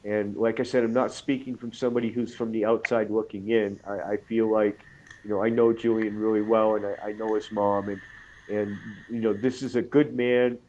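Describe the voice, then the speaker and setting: A man with a cold, talking casually as a guest on an online radio show, halting with fillers and restarts. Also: fairly low pitch, level tone, a little mellow, highs cut off. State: has a cold.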